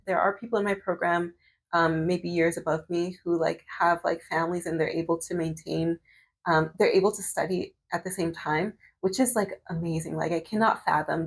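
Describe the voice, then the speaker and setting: A woman's voice: fairly low pitch, breathy edge. A woman in her thirties vlogging while doing her hair, chatting casually with lots of fillers and restarts. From Canada. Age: 30s. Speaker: medical student and vlogger.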